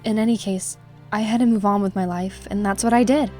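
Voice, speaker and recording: A woman playing a troubled young woman who narrates a visual novel in a somber, measured way. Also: medium pitch, steady tone, mellow, faint music behind, natural voice. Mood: somber, reflective. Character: troubled, reflective young woman recounting dark memories.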